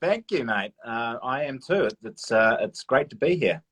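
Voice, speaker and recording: A man's voice: mid-range voice, lively intonation, unhurried, fairly bright tone, breathy edge. A man chatting casually as an interview guest, informal and modest, feeling his way through his answers. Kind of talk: casual chat.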